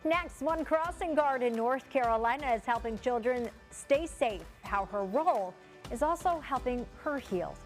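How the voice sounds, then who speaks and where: A woman anchoring a TV news program with a smooth scripted delivery. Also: high voice, lively intonation, moderate pace, over music.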